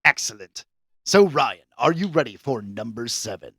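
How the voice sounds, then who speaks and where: A man's voice: mid-range voice, sing-song, moderate pace, a bit bright, slightly rough, dry recording. A man hosting a pop culture quiz on a podcast in a casual, chatty style, announcing questions and verdicts theatrically. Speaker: podcast host and quizmaster.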